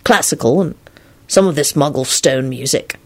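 A woman plays a gentle, earnest teenage wizard boy from a storybook. The voice sits low and has a brooding, thoughtful manner. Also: normal speed. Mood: sheepish.

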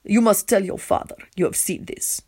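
A woman voicing a calm, stern but kindly vampire prince, speaking in a measured, formal way. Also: on the low side, vocal fry, husky, heavily acted.

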